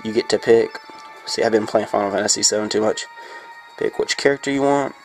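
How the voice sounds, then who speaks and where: Gravelly congested man reviewing a game. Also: lowish voice, brisk, soft background music. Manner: rambling, frequent fillers. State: congested from a sinus infection. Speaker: gameplay reviewer. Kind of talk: casual gameplay commentary.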